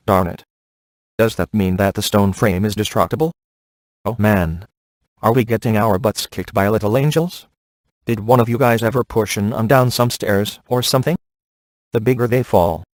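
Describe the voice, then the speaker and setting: A man acting a cocky, laid-back ghost hunter in a video game, sardonic and offhand with deadpan sarcasm. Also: fairly low pitch, no echo, lightly acted.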